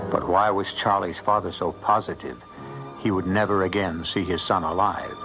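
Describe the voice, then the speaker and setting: A man playing an ominous host and storyteller of a radio mystery, narrating in a measured, theatrical way. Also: on the low side, gravelly, heavily acted. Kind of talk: dramatic scripted radio narration. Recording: dull vintage radio recording. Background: dramatic music.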